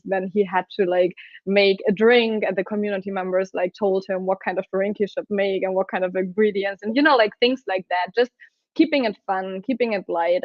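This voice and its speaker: A woman's voice: mid-range voice, dry recording. A young German woman with a German accent, chatting casually as an interview guest, with many fillers. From northern Germany. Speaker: guest, community lead. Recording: dry, slightly dull lo-fi mic.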